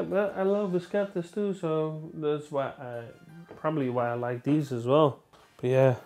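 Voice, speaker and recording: A man in his twenties with a Dutch accent, talking casually and excitedly about a new pair of sneakers in a small room. He rambles, restarts his sentences and throws in a lot of fillers. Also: on the high side. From Netherlands. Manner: chatty, enthusiastic, rambling with restarts. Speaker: sneaker vlogger. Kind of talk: casual unboxing review. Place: small indoor room, seated on a sofa.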